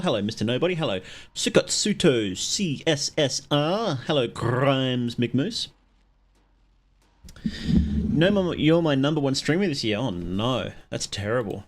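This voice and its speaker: A man hosting a livestream, chatting casually with viewers in a rambling, halting way and often interrupting himself. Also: medium pitch, very animated. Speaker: livestream host.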